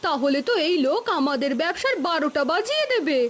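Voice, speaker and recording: Woman acting a shrill, greedy village wife. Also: very animated, fast, a little hiss or hum. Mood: nagging, alarmed. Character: greedy, nagging village wife hungry for riches. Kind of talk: cartoon voice acting.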